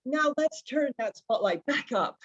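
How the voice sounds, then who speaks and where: A woman's voice: sits high, expressive, unhurried, dull audio. A woman acting a pushy, self-important author in her fifties, grand and commanding, in a drama table read. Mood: grandly bossy.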